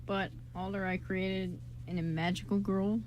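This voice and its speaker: A woman with a mid-pitched voice chats casually as the co-host of a radio show. Her talk is informal and rambles along. The recording is amateur, with some light noise behind her. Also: flat delivery, unhurried, dull audio. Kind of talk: casual chat.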